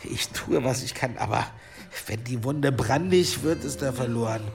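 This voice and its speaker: Creaky-voiced, earnest medieval monk played by an actor. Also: male voice, mid-range voice, normal speed, soft background music, natural voice. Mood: worried, earnest. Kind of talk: naturalistic radio drama dialogue.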